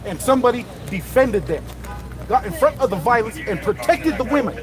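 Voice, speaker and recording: A protester arguing with police in quick interjections. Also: male voice, very high-pitched, very animated, faint music behind. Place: outdoors at a street protest. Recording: clear street noise.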